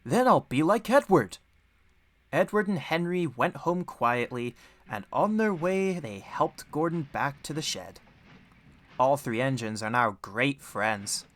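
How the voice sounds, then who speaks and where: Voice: medium pitch, lively intonation, a little slow, a bit bright. A storyteller reading a children's audiobook in a scripted narration style.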